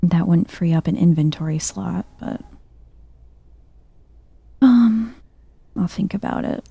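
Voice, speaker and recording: Husky, soft-spoken woman gamer, slow and mellow. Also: dry recording, muffled recording. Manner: chill, low-key, murmuring to herself. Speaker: video game streamer, let's player. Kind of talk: soft-spoken ASMR gameplay commentary.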